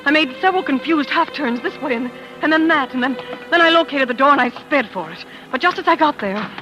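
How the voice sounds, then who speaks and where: A shaken young wife recounts a dark discovery in 1940s radio suspense style. Her voice is high, with hushed, deliberate, dramatic phrasing. Music swells beneath her on an old radio recording. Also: animated, rough recording. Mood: panicked, horrified. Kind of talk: 1940s radio acting, first-person suspense narration.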